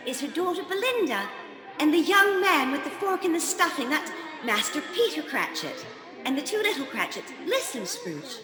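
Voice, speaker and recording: A woman's voice: high-pitched, pushed and bright, full character voice. A woman playing a jolly giant Christmas spirit in a radio play, grand and declamatory. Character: jolly, booming giant Ghost of Christmas Present. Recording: echoey hall reverb, faint music.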